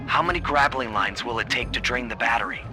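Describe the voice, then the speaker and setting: Laid-back animal thief hero, male actor, average pitch, confident wry video game delivery over music. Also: quick pace, natural voice. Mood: cool, confident. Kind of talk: video game cutscene voice acting.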